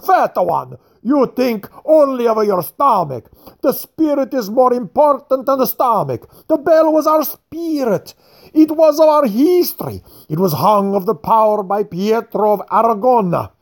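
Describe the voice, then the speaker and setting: Reader playing a slow, pompous old man. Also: mid-range voice, very animated, a little mellow, voice pushed up. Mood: indignant. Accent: Italian. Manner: slow, careful, formal, bowing.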